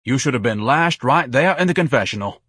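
A pompous, melodramatic grown son from an audiobook, acted out by a man. He declaims haughtily in a husky voice that swings widely in pitch, dry and close. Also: animated, fairly quick, a bit bright, no room sound. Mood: indignant, scornful. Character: pompous, indignant, self-pitying grown son, grandiose and melodramatic. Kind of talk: audiobook character voice.

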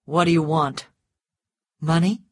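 A woman voicing a mocking, self-assured man in an audiobook story, blunt, deliberate and teasing. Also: low-pitched, fairly even intonation, slightly rough, no room sound, studio quality.

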